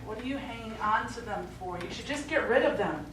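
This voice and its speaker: Woman playing caring exasperated adult daughter, slightly high voice, insistent, live stage play, echoey hall. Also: animated, a little fast, natural voice. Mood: frustrated. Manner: insistent, pointed questioning. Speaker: stage actor. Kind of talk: live one-act stage play acting. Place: small theatre stage. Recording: echoey theatre, distant mic, faint noise.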